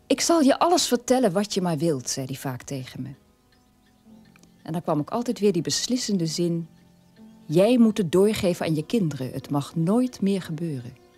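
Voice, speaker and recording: An actress playing a sober middle-aged daughter of a war criminal, telling her past in a measured radio-play monologue with a husky voice. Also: fairly low pitch, very animated, darkish tone, faint music behind. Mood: quoting, earnest.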